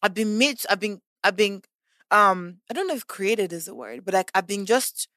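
Woman, 20s, animated, casual chat, dry recording. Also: medium pitch, normal speed, no echo. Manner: animated, acts out quoted voices. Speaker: podcast guest. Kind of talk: casual conversation.

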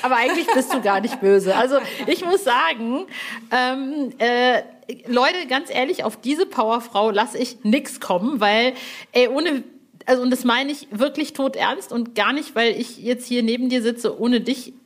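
Higher-voiced woman hosting a casual chat. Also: moderate pace. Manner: effusive, emphatic, searching for words. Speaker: podcast host interviewing a colleague.

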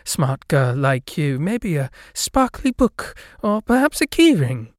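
A man voicing a pushy older woman shopkeeper with slow, coaxing patter. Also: on the high side, very animated, no echo, studio quality, pitched above the performer's own voice.

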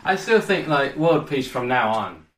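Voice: medium pitch, very animated, measured pace, a bit bright. A podcast co-host, chatting casually, reassuring and teasing, giggling often. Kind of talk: casual chat.

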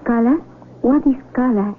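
Innocent young villager, played by a woman, earnest and proud, fairly high voice, tinny narrow-band radio recording. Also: normal speed, soft background music, lightly acted. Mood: gentle curiosity. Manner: simple, formal, earnest phrasing. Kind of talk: radio drama acting. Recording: lo-fi, noisy old radio broadcast.

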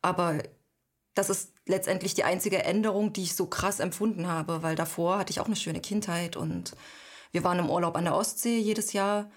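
Thoughtful woman with a lowish voice. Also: fairly even intonation. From East Germany. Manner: thoughtful, searching for words with frequent pauses. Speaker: guest. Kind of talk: reflective personal interview.

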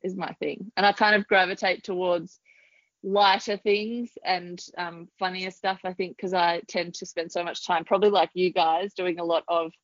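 An Australian woman in her thirties chats on a podcast with a slightly low voice, laughing easily and dropping frequent ums. The sound is dry and close, with a dull, lo-fi quality. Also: steady tone, moderate pace, no echo. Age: 30s. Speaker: podcast guest. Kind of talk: casual interview chat.

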